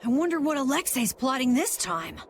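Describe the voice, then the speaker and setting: A woman voicing an excitable young teenage boy adventurer who talks eagerly and exclaims. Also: high voice, fairly quick, slight background noise. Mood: curious. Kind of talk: video game voice acting.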